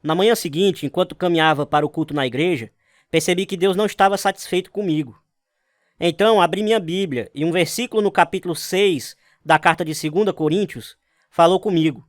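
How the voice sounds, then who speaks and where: A man's voice: sits high, brisk, dry recording, studio quality, lightly acted. An actor playing an earnest, devout young Navy man telling his life story in a radio drama. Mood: reflective. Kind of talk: radio drama narration with acted dialogue.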